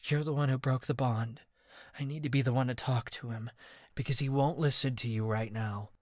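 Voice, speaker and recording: A man voicing a calm young woman who speaks softly and evenly. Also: mid-range voice, moderate pace, no echo, studio quality, lightly acted. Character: gentle, level-headed young woman.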